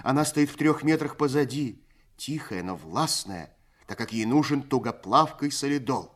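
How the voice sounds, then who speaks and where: A man narrating a literary story for a radio play in a measured, expressive storytelling manner. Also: medium pitch.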